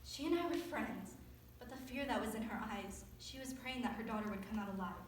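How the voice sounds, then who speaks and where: A woman's voice: a little high, fairly quick, lightly acted. A young woman playing a grieving, guilt-ridden mother in a staged dramatic monologue. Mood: anguished. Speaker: student performer in readers theater. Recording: distant echoey stage recording, faint noise.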